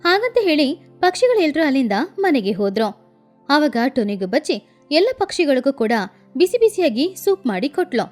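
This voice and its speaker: A woman narrating a children's cartoon story in an expressive storytelling manner. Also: high-pitched, moderate pace, faint music behind.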